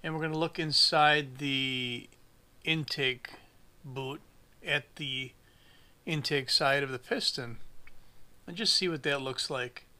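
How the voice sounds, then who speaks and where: Man, 40s, repair mechanic, crisp bright voice, dry humor, workshop bench. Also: medium pitch, slow, long pauses. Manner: plain-spoken, dry humor, thinks aloud while working. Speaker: small engine repair shop mechanic. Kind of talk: casual workshop repair narration.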